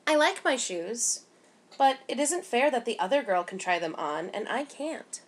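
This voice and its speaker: A woman's voice: on the high side, sing-song, normal speed, pitched above the performer's own voice. A woman voicing an earnest, hopeful young girl in a children's storybook, speaking expressively. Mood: puzzled, hurt.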